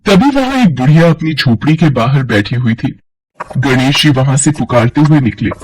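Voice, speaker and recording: A man's voice: medium pitch, animated, moderate pace, a little mellow, slight background noise, rough recording. A man narrating a scripted cartoon folk tale as a storyteller.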